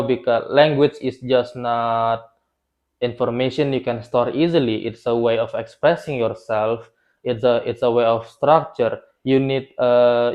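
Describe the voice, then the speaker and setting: A young man whose first language is Indonesian, presenting an explainer video on learning languages in a loose, unscripted way, with frequent restarts and fillers. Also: medium pitch. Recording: slightly dull lo-fi sound.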